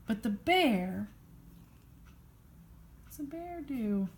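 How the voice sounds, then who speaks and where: A woman reading a children's bedtime story aloud in an expressive, playful sing-song way, prompting a child along. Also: fairly high pitch, very slow.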